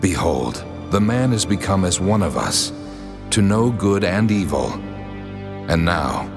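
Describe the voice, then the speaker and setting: A man playing God as a stern, majestic deity, speaking solemn, deliberate pronouncements in a dramatized scripture reading. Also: sits low, big pitch swings, heavily acted. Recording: long cavernous reverb, music underneath.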